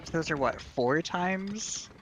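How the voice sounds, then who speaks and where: A man's voice: fairly high pitch, lively intonation, slow. A man making casual conversation with friends during an online game. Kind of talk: casual chat while gaming. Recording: loud background noise over voice chat.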